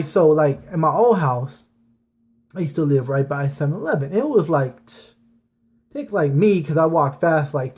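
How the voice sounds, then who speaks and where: A man's voice: on the high side, darkish tone. A man telling personal stories to his viewers in an informal, rambling, slangy way, with some swearing. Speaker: online storyteller, channel host. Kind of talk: casual personal storytelling to viewers.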